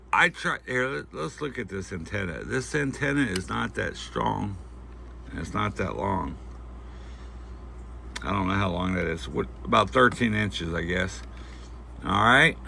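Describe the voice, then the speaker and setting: A man giving a casual, unscripted product demonstration, talking in a halting, folksy way as he thinks aloud. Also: fairly low pitch, vocal fry, bright, forceful tone. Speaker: host of a gear review channel. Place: indoors at home at night. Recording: faint background noise.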